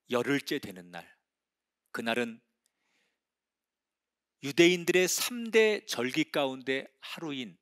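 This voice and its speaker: Male pastor, middle-aged, high voice, very lively intonation, hushed whispers to loud bursts. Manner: dramatic storytelling, swinging from hushed whispers to loud exclamations. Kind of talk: sermon.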